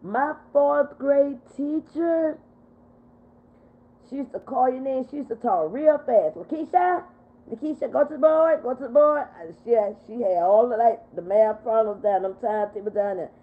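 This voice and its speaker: A woman in her fifties hosting a casual livestream chat, reading out viewer comments and answering them in an animated way. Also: a little high, moderate pace. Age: 50s. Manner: animated, talks back to viewers. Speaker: livestream host. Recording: phone-like narrow sound.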